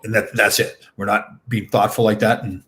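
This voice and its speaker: A man's voice: on the low side, level tone, normal speed, fairly bright tone. A middle-aged man hosting a casual interview, thinking aloud with frequent restarts and repeated words. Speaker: podcast host.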